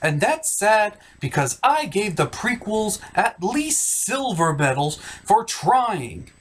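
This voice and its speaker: Sardonic review host, highly animated delivery, emphatic scripted comedy narration. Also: slow pace, a little hiss or hum.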